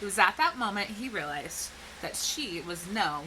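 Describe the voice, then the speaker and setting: A woman speaking like a teacher to young children, explaining things clearly and posing questions in an educational read-aloud. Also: medium pitch, lively intonation, normal speed, noisy background. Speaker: teacher reading a picture book to children.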